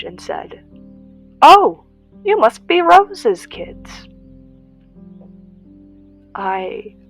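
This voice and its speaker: Audio drama narrator, confiding storyteller moving from hushed to emphatic, medium pitch, light music bed. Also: gravelly, low-quality recording. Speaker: narrator in character. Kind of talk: dramatic first-person narration, podcast-style audio drama.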